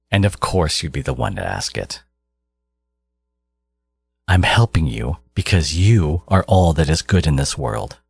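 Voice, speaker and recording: A man playing a menacing, condescending genius supervillain in an audio roleplay, exasperated and obsessive yet caring, with a low, gravelly, husky voice. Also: a little mellow, dry recording, heavily acted. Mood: exasperated, impatient, then intense, bitter. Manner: condescending, menacing, theatrical, slipping into whispers. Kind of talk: dramatic second-person audio roleplay monologue.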